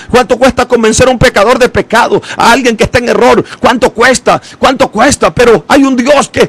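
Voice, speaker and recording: A man with a very high, strained voice preaches. His delivery is gravelly and impassioned, full of repetition and sudden shouted peaks. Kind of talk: fiery evangelical sermon.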